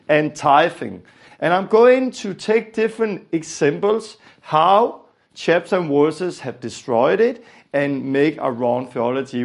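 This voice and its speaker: A man's voice: a little high, gravelly. A man from Denmark with a Danish accent, teaching a religious lesson earnestly and repeating phrases for emphasis.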